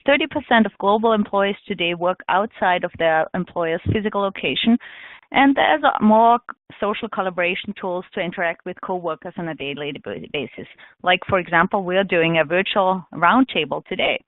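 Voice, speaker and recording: A woman from Austria giving a webinar presentation, talking in a measured way with frequent ums. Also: fairly low pitch, dry recording. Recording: tinny phone-line audio.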